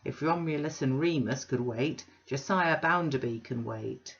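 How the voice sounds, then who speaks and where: A woman voicing a blustering, boastful middle-aged businessman who talks loud and blunt. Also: extremely low pitch, measured pace, creaky, full character voice. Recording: dull lo-fi recording.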